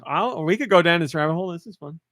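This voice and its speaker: A man's voice: on the high side, lively intonation, fast, fairly bright tone, no room sound, clean studio sound. A man hosting a video game podcast, laid-back and steering the conversation between co-hosts. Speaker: podcast host. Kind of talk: casual chat.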